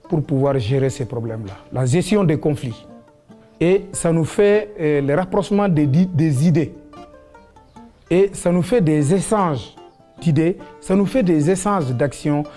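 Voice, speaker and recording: A man speaking in a documentary interview, explaining things with many pauses and fillers. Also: on the high side, warm tone.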